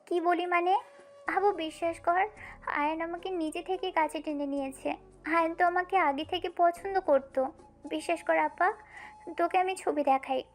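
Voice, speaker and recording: Woman acting a falsely innocent younger sister, extremely high voice, insistent, audio story, background music. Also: steady tone, normal speed. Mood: insistent, feigning innocence. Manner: insistent, pleading. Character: scheming younger sister feigning innocence, pleading. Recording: music under the voice.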